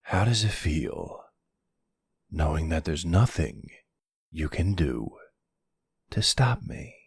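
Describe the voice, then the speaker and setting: A man performing as a predatory, teasing male vampire in an ASMR roleplay. His voice is very deep and husky, drawled slowly and seductively. It sounds smug and intimate. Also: very animated, dry recording, clean studio sound, exaggerated voice. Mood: menacing, possessive. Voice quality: low, gravelly, husky. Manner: slow, taunting, seductive drawl.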